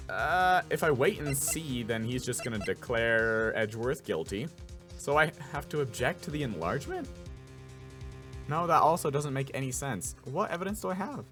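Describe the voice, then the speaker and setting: A young man voicing a game playthrough, thinking aloud in an excitable way. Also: on the high side. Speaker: voice actor playing a game. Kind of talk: let's play reaction commentary.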